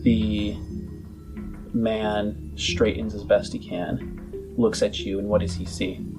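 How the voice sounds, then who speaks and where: Male game master, low voice, very unhurried, halting descriptive narration, dramatic pauses, light background music. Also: flat delivery. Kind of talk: improvised narration of a tabletop roleplaying game.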